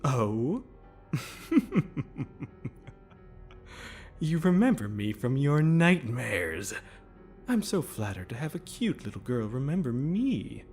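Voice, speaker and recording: A man's voice: mid-range voice, big pitch swings, measured pace, darkish tone, faint music behind. A man playing a sadistic, mocking demon villain in an audio drama, with a drawling, mock-courteous manner. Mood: mock-sweet menace. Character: sadistic, mocking demon predator, theatrically polite, menacing.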